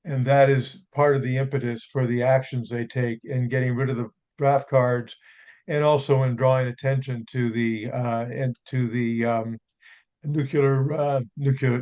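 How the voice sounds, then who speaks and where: A man's voice: mid-range voice, darkish tone. Male audience questioner, stop-start, muffled lo-fi recording. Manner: halting, many fillers and restarts. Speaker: audience member. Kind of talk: question from the floor.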